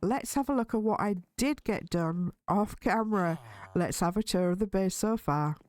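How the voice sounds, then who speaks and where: A woman with a slightly hoarse voice, chatting cheerfully and thinking aloud as she hosts a casual game playthrough. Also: mid-range voice, normal speed. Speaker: gaming video host. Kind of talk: casual let's-play commentary.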